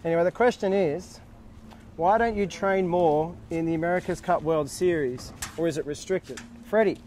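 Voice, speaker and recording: Brief: young male host, slightly high voice, cheerful and jokey, outdoor handheld vlog. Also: normal speed, a little mellow, slight background noise. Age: young adult. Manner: cheerful, joking, reads questions aloud. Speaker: sailor hosting a fan Q&A. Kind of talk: casual on-camera chat. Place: outdoors at a boat tent by a harbour. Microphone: handheld camera close up.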